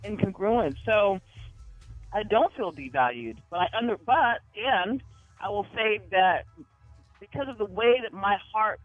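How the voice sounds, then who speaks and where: A woman guest on a talk show, reflecting earnestly and deliberately, with emphatic phrasing, through dull lo-fi radio sound. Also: mid-range voice, expressive, dry recording, faint music behind. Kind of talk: earnest personal reflection in discussion.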